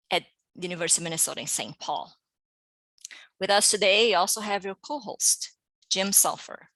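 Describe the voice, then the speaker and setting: A woman's voice: lowish voice, pushed and bright, dry recording, poor audio. A woman hosting a webinar over a video call, speaking in a friendly way with frequent fillers. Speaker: host.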